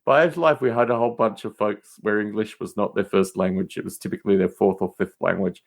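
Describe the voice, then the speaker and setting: A man's voice: fairly low pitch, steady tone, fast, creaky. A man speaking as a podcast guest in casual, rambling banter, emphatic and prone to digressions and mildly exasperated exclamations.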